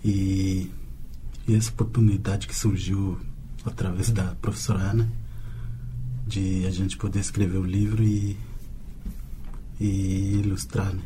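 A man, a book author and illustrator, answering an interview in a hesitant, halting way. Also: deep voice, flat delivery, background noise. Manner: hesitant, halting, repeats words.

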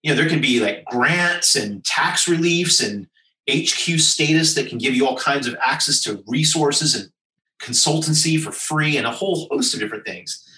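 An American man answers interview questions with emphatic, persuasive advice, stacking points in lists. His voice is bright and forceful. He sits a little back from the mic in a roomy space. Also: medium pitch, moderate pace, recorded from a distance. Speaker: business executive guest.

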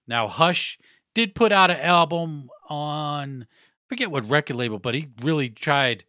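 A man's voice: fairly high pitch, a little slow, dry recording, clean studio sound. A young adult man hosting a casual hip hop podcast, talking loosely with slang and cursing, his nose stuffed up. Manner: loose, profane, slangy talk with shout-outs. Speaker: podcast host.

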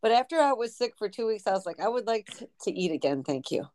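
A woman's voice: mid-range voice, animated, fast, a little mellow, no echo. A woman chatting casually and bluntly, swearing freely as she swaps stories about being sick. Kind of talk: casual chat.

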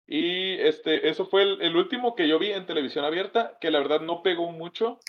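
A man's voice: on the high side, normal speed, muffled recording. A man from Mexicali with a northern Mexican accent, telling stories in a casual chat, rambling and slangy with many fillers. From Mexicali, Baja California. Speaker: podcast co-host.

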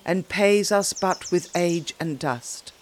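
A woman reciting poetry in a measured, dramatic way with deliberate pauses. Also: lowish voice, slight background noise. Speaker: poetry reader.

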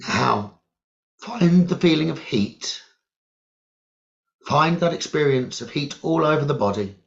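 A man leading a guided meditation as a teacher, giving calm, deliberate instructions in short phrases with long pauses. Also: on the high side, gravelly. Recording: dull, lo-fi sound.

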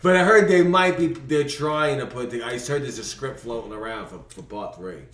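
A man's voice: mid-range voice, normal speed, a bit bright. A man hosting a casual podcast chat, brash and profane, joking and laughing with friends. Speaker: podcast host. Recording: roomy, distant mic with faint noise.

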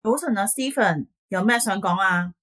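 Casting: actress as weary Hong Kong Cantonese female manager, mid-pitched, brushing staff off, radio play. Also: normal speed, fairly bright tone, close to an everyday voice. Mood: brusque, placating. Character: dismissive, weary female office boss. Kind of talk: radio drama acting.

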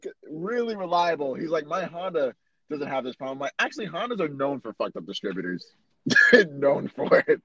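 A man's voice: a little high, big pitch swings, normal speed, muffled recording, crowd noise. A man chatting casually with a friend as podcast co-host, joking, boastful and swearing freely. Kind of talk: casual chat between friends. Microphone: remote call mic.

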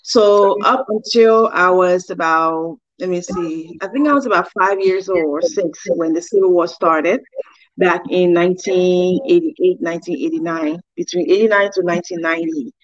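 A woman's voice: on the low side, normal speed, dry recording. A woman in her forties from Liberia, giving earnest, devout personal testimony as an interview guest on a slightly dull recording.

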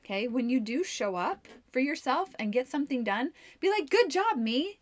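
A woman's voice: high-pitched, sing-song, normal speed. A woman co-hosting a podcast, a mom giving upbeat, encouraging advice in casual chat. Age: adult mom. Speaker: podcast co-host.

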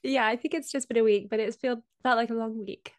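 A woman with a slight cold, explaining finance topics in a casual podcast chat with many pauses and ums. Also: a little high, fairly even intonation, dry recording. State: has a cold. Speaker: co-host explaining crypto.

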